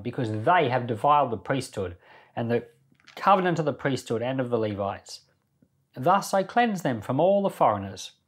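A man hosting a Bible podcast, reading scripture expressively and with emphasis, then commenting on it informally. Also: mid-range voice. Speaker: Bible podcast host and reader.

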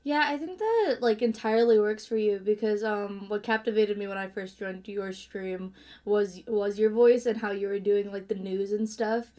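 A woman's voice: mid-range voice, normal speed, a little mellow. A woman hosting a casual podcast chat, asking curious questions in a chatty way. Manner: chatty, curious, many likes. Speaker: podcast host.

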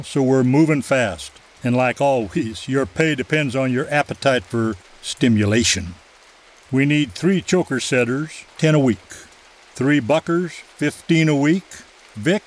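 A man's voice: medium pitch, lively intonation, measured pace, natural voice. A man playing a slight old logging camp boss who gives curt, no-nonsense orders in an audio drama. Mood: brusque, commanding. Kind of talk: screenplay audio drama acting.

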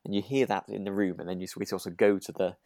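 A man's voice: low-pitched, fairly even intonation, quick pace, mellow, dry recording, studio quality. A man co-hosting a podcast, chatting informally and thinking aloud in a tentative way. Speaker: podcast co-host. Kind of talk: relaxed, informal discussion.

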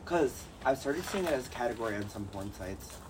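A man's voice: medium pitch, lively intonation, a little fast, very noisy. A man co-hosting a podcast in casual chat, rambling and jokey with lots of fillers. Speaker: podcast co-host.

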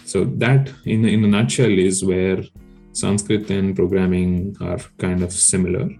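Podcast guest: low-voiced man, native Telugu speaker, mellow tone, halting with restarts, faint music behind. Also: steady tone. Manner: hesitant, many restarts and fillers. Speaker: guest researcher. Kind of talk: casual podcast chat.

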